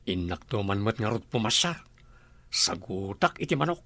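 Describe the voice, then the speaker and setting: A man's voice: fairly low pitch, sing-song, moderate pace, bright, forceful tone, no room sound. Dry-voiced young Ilocano man. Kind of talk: comedy drama acting.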